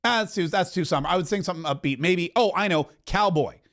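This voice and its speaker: Emphatic fairly high-voiced male commentator. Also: fast talker. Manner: emphatic, rambling, breaks into loud singing. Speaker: political commentary podcast host. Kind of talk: animated political commentary.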